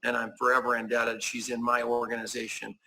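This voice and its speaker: A man in his sixties with a gravelly, bright edge to his voice, emphatic and a little preachy, driving his points home through repetition. His pitch sits in the middle, and faint ambience hangs behind him. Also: completely flat delivery, a little fast, a little hiss or hum. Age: 60s. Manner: emphatic, preachy, repeats key phrases. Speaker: guest, business owner. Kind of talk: enthusiastic conversational pitch.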